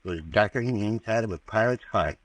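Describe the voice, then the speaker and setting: A man's voice: fairly low pitch, quick pace, no room sound, natural voice. An actor playing a folksy, superstitious small-town doctor in an old-time radio drama, rattled and stubborn, telling his story in hesitant rural phrases. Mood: spooked recounting. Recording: vintage radio recording, slightly distant.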